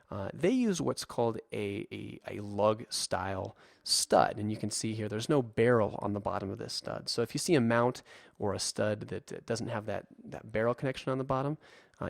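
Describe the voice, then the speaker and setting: A man's voice: fairly low pitch, creaky, no echo, studio quality. A man presenting an informal, conversational slide tutorial as an instructor, in a reassuring way. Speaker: instructor and presenter for a radio equipment retailer. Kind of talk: explanatory narration of a slide tutorial.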